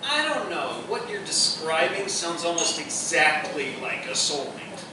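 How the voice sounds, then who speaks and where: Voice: mid-range voice, very animated, measured pace. An actor in a live radio drama, playing a heartbroken, stubborn romantic who clings to the idea of a soulmate. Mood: doubtful, hurt. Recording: very echoey, distant live recording, clear noise.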